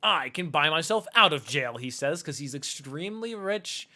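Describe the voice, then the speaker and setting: Bright-voiced flippant game streamer. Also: medium pitch, lively intonation, normal speed. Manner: joking, flippant. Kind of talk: casual livestream gameplay commentary. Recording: faint game music behind.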